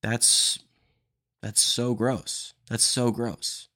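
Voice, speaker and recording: A man's voice: mid-range voice, flat delivery, drawn-out words, bright, forceful tone. A young man hosting a casual comedy podcast, a bit nasal from allergies, blunt and opinionated and full of "dude". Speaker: podcast host.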